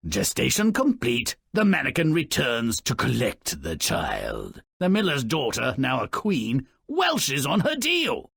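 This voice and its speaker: An actor playing a small, malicious, sardonic storybook grouch in a video game, raspy and gravelly, narrating dark fairy tales with theatrical, sneering mockery. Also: male voice, medium pitch, huge pitch swings, unhurried, few pauses, no echo, studio quality, heavily acted. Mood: mocking. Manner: theatrical, sneering, mocking, ornate vocabulary.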